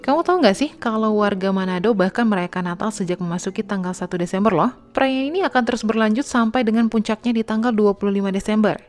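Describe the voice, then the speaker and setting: A woman's voice: mid-range voice, darkish tone. Friendly woman narrating an explainer. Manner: friendly, speaks directly to the viewer with questions.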